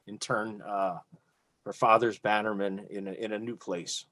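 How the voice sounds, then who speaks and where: A man's voice: on the low side, steady tone, moderate pace, bright, forceful tone, no echo. A man playing a tabletop role-playing game, casually stating what his character will do.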